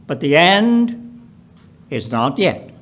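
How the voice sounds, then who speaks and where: A man reading scripture aloud in a solemn, deliberate way, with emphatic phrasing and pauses. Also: on the high side, big pitch swings, a bit bright. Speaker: Bible reader at a church.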